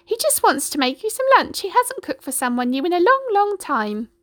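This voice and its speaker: Woman doing a high, cackling giant chicken. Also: big pitch swings, moderate pace, a bit bright, no echo, studio quality, full character voice. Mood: chatty. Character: enormous cackling female chicken, chatty and bossy.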